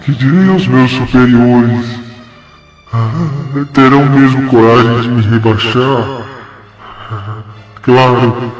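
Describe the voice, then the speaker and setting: A man playing a tormented, arrogant commander of dark spirits in an audio drama, ranting theatrically and repeating his phrases. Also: medium pitch, fairly even intonation, slow, pauses often, lightly acted. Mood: scornful, worried. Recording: roomy echo under loud music.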